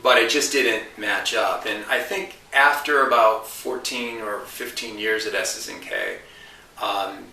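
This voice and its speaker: A man's voice: fairly low pitch, sing-song, measured pace, creaky, pushed and bright. A man in his forties giving thoughtful interview answers about his career, restarting phrases and lifting his voice to stress a point. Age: 40s. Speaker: interview guest, marketing consultant. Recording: roomy, distant mic, faint hiss.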